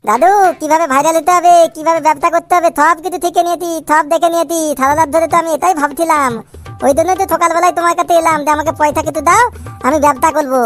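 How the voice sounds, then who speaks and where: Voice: very high voice, fairly even intonation, fast talker, a bit bright, faint music behind. A performer voicing a cheeky, foul-mouthed little boy in a comic cartoon, boastful and grumbling with a childish lisp. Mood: boastful, eager.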